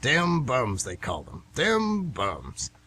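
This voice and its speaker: A man's voice: mid-range voice, wildly swooping pitch, slow, pushed and bright, slightly rough, full character voice. A man playing a folksy, nostalgic older baseball fan who rambles emphatically in an amateur radio play. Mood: nostalgic, animated.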